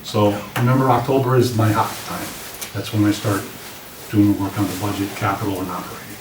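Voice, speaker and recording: A man with a slightly raspy voice giving an informal, plain-spoken briefing to a committee as a public works director. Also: lowish voice, little pitch movement, fairly quick. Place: indoor meeting room. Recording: roomy, distant mics with clear background noise.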